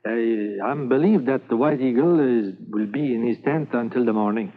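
A man plays a charming, fearless French Mountie, his French accent thick and his English halting, stumbling over words with many pauses. He holds a middle pitch. The sound is thin and phone-like, from an old radio transcription. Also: natural voice. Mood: planning. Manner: halting broken English full of "uh". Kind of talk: 1950s radio drama acting.

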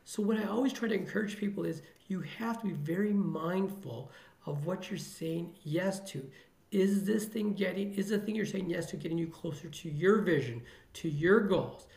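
Middle-aged male ER doctor from the Chicago area, earnest and motivational, heavy vocal fry, echoey room. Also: high voice, moderate pace, a little mellow. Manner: earnest, motivational, repeats phrases for emphasis. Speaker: emergency physician guest. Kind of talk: podcast interview answers.

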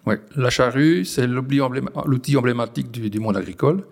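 Forties Belgian farmer from the Ardennes, gravelly voice, hesitant, restarts often. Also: male voice, mid-range voice, fast talker. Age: 40s. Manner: hesitant, many restarts and fillers. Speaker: farmer guest. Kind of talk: conversational interview. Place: on a farm.

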